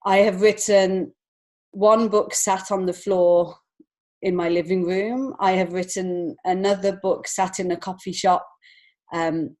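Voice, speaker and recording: A woman chatting casually as a guest in an interview, with a candid, expressive and giggly manner. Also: fairly low pitch. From lives in Montreal, Canada. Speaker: guest, children's author and illustrator.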